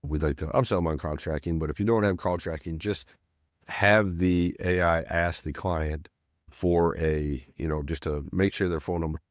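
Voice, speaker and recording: A middle-aged man with a slightly nasal voice from a cold, explaining a software setup in a loose, rambling way full of "you know" and restarts. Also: sits low, fairly even intonation, moderate pace, dry recording, studio quality. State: getting over a cold. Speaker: self-storage business owner teaching automation. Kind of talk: screen-share tutorial narration.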